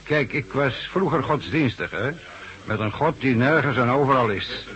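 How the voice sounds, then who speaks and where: A talkative small-town barman played by a male actor in a radio drama, devout and eager to share his beliefs. His voice is sharp and forceful at a middle pitch, and the old recording sounds a little dull. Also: moderate pace, close to an everyday voice. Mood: earnest, fervent. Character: chatty, devout barman in a remote Alaskan bar. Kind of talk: Dutch radio drama acting.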